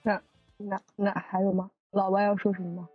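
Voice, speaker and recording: A woman's voice: medium pitch, flat delivery, normal speed, slightly rough, dull audio, soft background music. A woman hosting a casual group chat, chatty and often prompting others with questions. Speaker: host of a drama cast discussion.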